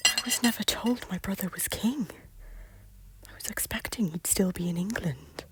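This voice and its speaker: A woman's voice: medium pitch, normal speed, in a whisper, lightly acted. A woman voicing an anxious young Scottish prince with a worried, apologetic manner. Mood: sorrowful whisper. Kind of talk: fan audio drama acting.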